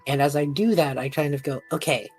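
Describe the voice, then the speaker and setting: A woman with a low, husky voice plays a tabletop game. She talks in a casual, conversational way. Soft music runs underneath. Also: a little fast.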